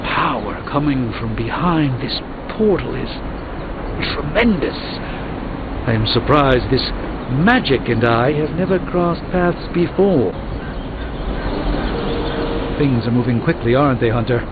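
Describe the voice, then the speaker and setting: A man's voice: mid-range voice, animated, measured pace, long pauses, very noisy. A man playing a composed, learned sorcerer in a video game, with formal, articulate, dry delivery. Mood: measured, wry. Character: composed, learned adult male sorcerer, dry and self-assured. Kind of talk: video game dramatic dialogue. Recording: tinny band-limited game audio under loud music.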